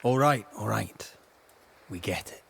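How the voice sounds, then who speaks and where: Reluctant ghost hunter for a game: very husky, gravelled, stoic, sardonic. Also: male voice, fairly low pitch, animated, a little slow, fairly bright tone, exaggerated voice. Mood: weary, sceptical. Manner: dry, sardonic. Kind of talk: dramatic video game acting.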